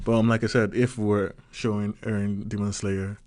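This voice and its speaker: Man, Nigerian accent, lowish voice, chatty vlog talk, dry close sound. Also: little pitch movement, moderate pace, a little mellow, no room sound. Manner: chatty, loose, peppers talk with "like" and "right?". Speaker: vlogger. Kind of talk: casual solo commentary.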